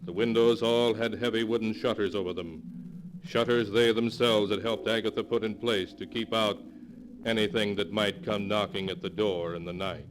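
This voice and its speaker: A man narrating a 1940s radio horror tale in a solemn, dramatic storytelling manner. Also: on the low side. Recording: noisy vintage 1940s radio recording.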